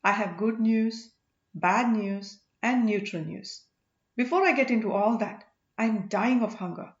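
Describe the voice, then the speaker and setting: A woman's voice: fairly high pitch, unhurried, lightly acted. A woman voicing a loyal, teasing boatman husband in an audiobook, playful and earnest. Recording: echoey room, dull lo-fi sound.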